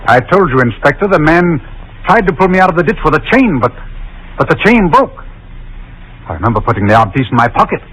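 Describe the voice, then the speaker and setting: An actor playing an accused young husband, flustered and indignant, halting and protesting in 1940s radio style. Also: male voice, on the high side, big pitch swings, a bit bright, a little hiss or hum, low-quality recording. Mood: agitated.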